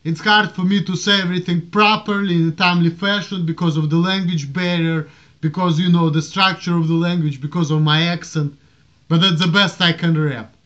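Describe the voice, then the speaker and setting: A man's voice: a little high, brisk. A man in his thirties with a strong Russian accent, ranting casually to a webcam in a small room and waving his arms as he talks. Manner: animated, waves his arms, rambling restarts. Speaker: vlogger. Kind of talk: casual rant to camera. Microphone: webcam at desk. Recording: dull lo-fi webcam audio.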